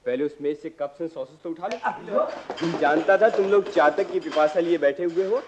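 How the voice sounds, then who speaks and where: Young wry idealist, radio drama male actor: lightish high voice, literary banter, dull vintage-style sound. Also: normal speed, background noise, lightly acted. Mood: playful, bantering. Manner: bantering, literary turns of phrase. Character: wry, philosophical unemployed young man, teasing idealist. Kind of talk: radio play acting. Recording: dull lo-fi archive radio recording.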